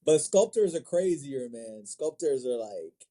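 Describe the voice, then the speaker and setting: A man from Senegal speaks with a very dark, soft-edged tone at a middle pitch. He is a relaxed, slangy guest who readily agrees and echoes his short replies. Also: measured pace. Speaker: guest, manga creator. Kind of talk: casual chat. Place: own art studio.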